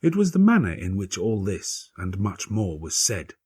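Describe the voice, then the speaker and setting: Husky, low-voiced man narrating gothic fiction. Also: wildly swooping pitch, darkish tone, no room sound, studio quality. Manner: grave, measured, theatrical delivery with formal literary phrasing. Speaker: audiobook narrator. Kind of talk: dramatic gothic audiobook narration.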